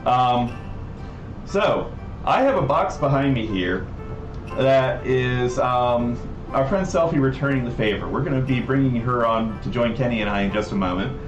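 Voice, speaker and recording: A man hosting a casual livestream, talking in a rambling, halting way. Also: mid-range voice, animated, highs cut off. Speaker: livestream host. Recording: roomy echo, distant mic, background music.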